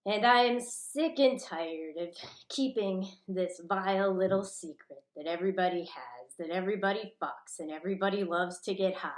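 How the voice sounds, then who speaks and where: A woman's voice: lowish voice, reverberant, rough recording. A woman delivering a rambling, profane rant that jumps from topic to topic in an agitated manner.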